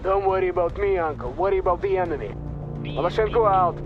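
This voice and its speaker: An actor playing an aggressive Soviet captain with a Russian accent, speaking in clipped military phrases for a video game. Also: male voice, sits high, quick pace, muffled recording, soft background music, lightly acted. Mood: restless, contemptuous. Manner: clipped military radio speech. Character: hot-headed, aggressive Soviet army captain.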